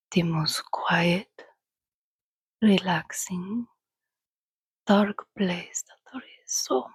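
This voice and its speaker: A woman voicing an ancient female vampire in a soft-spoken, breathy ASMR roleplay, coaxing and gently teasing as she hosts a listener. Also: lowish voice, no room sound, exaggerated voice. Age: over a thousand years old, as played. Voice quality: breathy, whispery. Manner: slow, coaxing, conversational with pauses.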